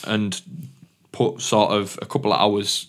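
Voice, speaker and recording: A man's voice: fairly low pitch, level tone, unhurried, a bit bright. A man telling stories in casual chat, swearing and rambling as he acts out who said what. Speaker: DJ and podcast host.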